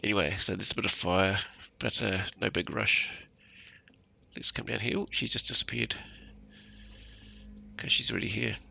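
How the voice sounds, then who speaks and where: A man's voice: fairly low pitch, fairly even intonation, moderate pace, creaky, long pauses, sharp tone, dry recording. A man giving casual commentary as he plays a video game, muttering to himself and often tagging on "eh?". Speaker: gamer commentating a playthrough. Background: game music.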